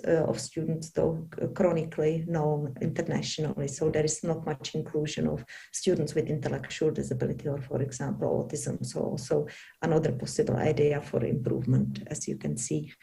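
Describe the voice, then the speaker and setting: A woman with a Czech accent giving a panel presentation, halting often with fillers and restarts. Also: deep voice, gravelly, mellow. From Czech Republic. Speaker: academic panelist.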